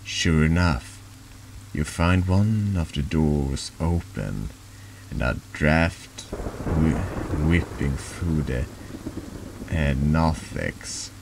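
Very deep, slow, creaky man narrating. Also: background noise. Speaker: vtuber reading a text game aloud. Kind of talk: slow horror ASMR narration.

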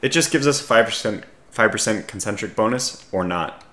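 A man with a scratchy voice giving casual strategy game commentary, calmly thinking aloud as he analyses his options. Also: lowish voice, brisk, reverberant. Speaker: gaming video host.

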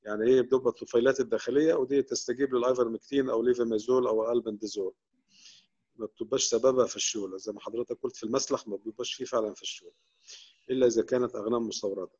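A man with an Egyptian Arabic accent, a veterinary professor answering questions during an online lecture with many quick restarts. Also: mid-range voice, steady tone, a little mellow, husky. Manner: explanatory, rapid, with frequent restarts. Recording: dull, lo-fi online call audio.

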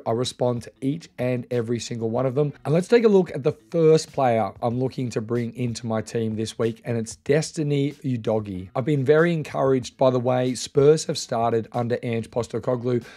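A man's voice: mid-range voice, dark tone. A man in his forties hosting his fantasy football channel, talking straight to his viewers in an upbeat, direct way, recorded close to the mic in a small room, his voice just recovered after being lost. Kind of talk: solo video commentary to camera.

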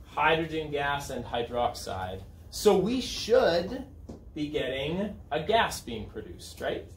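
A man's voice: fairly high pitch, sing-song, slow. A man in his thirties teaching a class in an informal, conversational way, checking in with students as he goes. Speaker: high school chemistry teacher. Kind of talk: classroom lesson, asking students questions. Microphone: camera set back in the room. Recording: roomy, distant camera mic, room noise.

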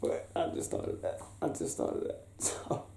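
A young man doing an affectionate ASMR boyfriend roleplay, soft-spoken, halting and playful, as if chatting in bed. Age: young adult. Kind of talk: ASMR boyfriend roleplay, improvised intimate chat. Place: small indoor bedroom, lying on a bed. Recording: roomy bedroom sound with faint hiss.

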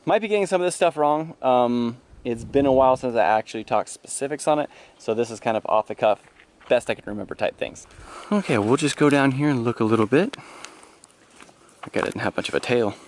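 A man's voice: medium pitch, fairly quick. A man in his thirties giving an informal, off-the-cuff walking tour on a handheld camera outdoors. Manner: informal, loosely explanatory. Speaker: farm tour vlogger. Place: outdoors in farm pens. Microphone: handheld action camera at arm's length. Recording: outdoor camera audio with noise and clicks.

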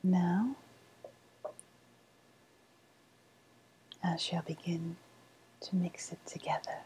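A woman playing a sly woodland witch in a softly spoken ASMR roleplay, half-whispering in a deliberate, sweetly ominous manner. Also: fairly low pitch, fairly even intonation, a little hiss or hum, close to an everyday voice. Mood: hushed, pleased. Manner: slow, deliberate, hushed, ominous.

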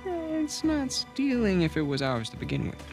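A streetwise older brother in a cinematic game scene. His voice sits a little high and moves freely as he coaxes and reassures in an earnest, casual way. Music plays clearly behind him. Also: wildly swooping pitch, normal speed, full character voice. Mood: conspiratorial. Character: protective streetwise older brother, young man, earnest and persuasive. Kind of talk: naturalistic video game cutscene acting.

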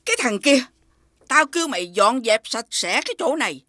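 An actress playing a bossy, sly woman food seller in an animated folk tale, with a Southern Vietnamese accent. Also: high voice, normal speed, no echo, clean studio sound, heavily acted. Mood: furious scolding. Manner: scolding, switches to coaxing.

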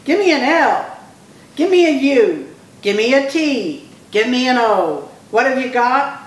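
A woman voicing a loud, rowdy second-grade kid leading a shouted chant in a children's storybook. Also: high voice, sing-song, a little slow, voice pushed up. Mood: rallying. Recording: echoey room, distant mic, faint noise.

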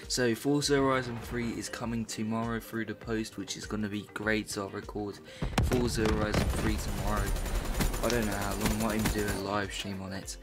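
A man's voice: lowish voice, little pitch movement, normal speed. A young British man hosting a gaming channel, chatting casually and rambling with many fillers. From Britain. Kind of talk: casual chat over gameplay footage. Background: loud game music and gunfire.

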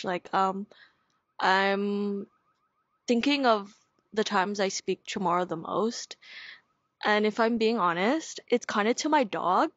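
A woman's voice: mid-range voice, dry recording, highs cut off. A young woman hosting an outdoor panel talk, chatty and giggly, full of casual fillers as she asks questions. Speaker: host. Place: outdoors at a table in a leafy garden.

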